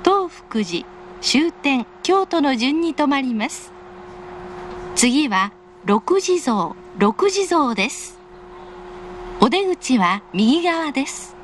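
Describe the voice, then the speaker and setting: Female train announcer, high-pitched, polite and formal, careful diction, faint carriage noise behind. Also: sing-song, slow pace, pauses often. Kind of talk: recorded in-car station announcement. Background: train car ambience.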